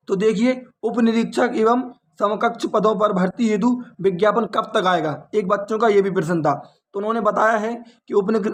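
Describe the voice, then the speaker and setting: Guy with a high voice, uttar pradesh accent, coaching teacher talking students through an update, keeps repeating himself. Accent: North Indian, Uttar Pradesh. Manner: repeats and rephrases points, asks rhetorical questions. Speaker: exam coaching teacher and channel host. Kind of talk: informal explanatory update for students.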